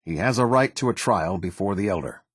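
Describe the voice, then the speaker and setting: An American man playing a stern, formal military officer in a video game, speaking with husky, clipped, measured authority. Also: low voice, lively intonation, no room sound, studio quality, full character voice. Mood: stern, commanding. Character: stern, formal male military officer of a post-apocalyptic order. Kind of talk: video game character dialogue.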